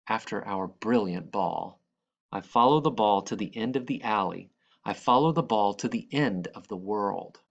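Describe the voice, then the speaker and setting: A man reading a children's picture book aloud, expressively, with dramatic and deliberate phrasing. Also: fairly low pitch, gravelly, highs cut off. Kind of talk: expressive read-aloud narration.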